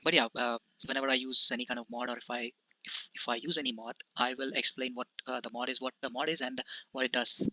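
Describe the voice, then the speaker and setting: A man's voice: mid-range voice, little pitch movement, fast, pushed and bright, lo-fi sound. A man from India hosting his gaming channel, talking through a farming game casually with many hesitations and restarts. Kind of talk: casual gameplay commentary.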